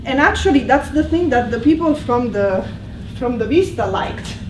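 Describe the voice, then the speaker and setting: A woman's voice: fairly high pitch, expressive, normal speed. A woman with an Italian accent, a language teacher presenting to colleagues in a conversational way, explaining patiently and often restarting her sentences. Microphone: distant room mic. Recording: echoey room from far off with background noise.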